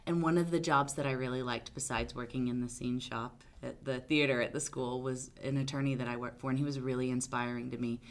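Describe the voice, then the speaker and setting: Very low-voiced, loud talkative woman. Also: fairly even intonation, fairly quick, hardly any pauses. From Arkansas. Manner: loud, big personality, talkative. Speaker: attorney guest. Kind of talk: casual interview answers.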